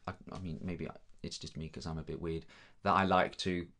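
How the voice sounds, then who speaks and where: Brief: young Danish man, deep voice, relaxed chatty interviewee with frequent ums. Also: a little fast. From Denmark. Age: young adult. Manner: easygoing, many ums, chatty. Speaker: drama student guest. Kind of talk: casual chat.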